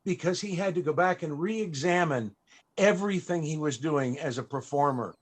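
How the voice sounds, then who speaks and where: A man hosting a panel, telling a personal story in a halting, reflective and emotional way. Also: on the high side. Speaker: panel host and entertainer. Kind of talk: storytelling at a live interview panel.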